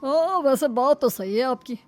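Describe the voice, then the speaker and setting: A woman's voice: high-pitched, animated, unhurried, soft background music, voice pushed up. A woman voicing a greedy, ingratiating father of a groom, fussy and persuasive, in a moral story.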